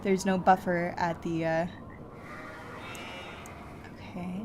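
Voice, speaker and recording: A woman's voice: lowish voice, level tone, slow pace, noisy background. A woman giving casual gameplay commentary, murmuring as she thinks aloud. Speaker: video game player and host. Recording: loud game music behind voice. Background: game music and sounds.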